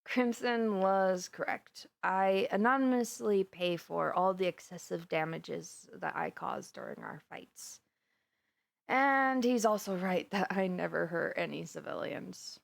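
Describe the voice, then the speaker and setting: A woman's voice: on the low side, measured pace, creaky. Woman acting a giddy, chatty young villainess. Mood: confessional, softer. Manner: gossipy, rambling, animated storytelling with giggles. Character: giddy, chatty, flustered young villainess confiding in her best friend. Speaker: voice actor in an audio roleplay. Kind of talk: conversational audio roleplay monologue.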